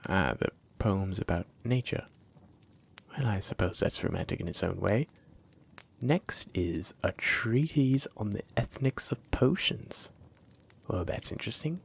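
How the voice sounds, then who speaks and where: A man's voice: fairly low pitch, darkish tone. A man playing a flirty, teasing dragon-blooded librarian in a roleplay audio drama, coy and persistent, lingering on his phrases. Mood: teasing, curious. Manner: coy, teasing, drawn-out phrases with breathy asides.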